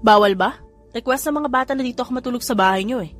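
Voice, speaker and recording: A woman voicing a flirtatious grown man and doting father, speaking in a lowered, playful voice. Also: fast talker, soft background music, natural voice. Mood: teasing.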